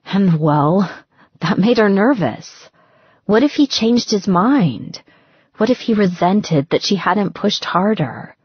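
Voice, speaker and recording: A woman reading romance fiction as an audiobook narrator, with expressive, dramatic phrasing. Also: medium pitch, slow, breathy edge, dry recording, lo-fi sound.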